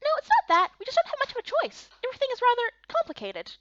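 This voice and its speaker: A woman's voice: extremely high pitch, wildly swooping pitch, fast talker, a bit bright, no room sound, muffled recording, pitched above the performer's own voice, full character voice. A woman voicing a bubbly, chatty, kindly female fairy in a visual novel game. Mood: chatty, friendly. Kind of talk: voiced playthrough of fantasy visual novel.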